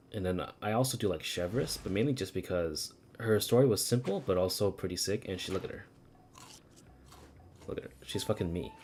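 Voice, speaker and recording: A man's voice: sits low, noisy background. A man streaming a video game, chatting casually, thinking aloud in a rambling way with some swearing. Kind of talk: casual livestream chat over gameplay. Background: video game music.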